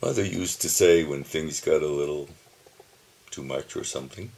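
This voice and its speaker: Very deep, gravelly older man musing slowly. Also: noisy background. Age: 70s. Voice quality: calls his own voice dull. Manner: slow, musing, with soft chuckles and pauses. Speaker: video diarist. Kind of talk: reflective personal reminiscing. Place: home, seated at his computer. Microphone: computer camera mic.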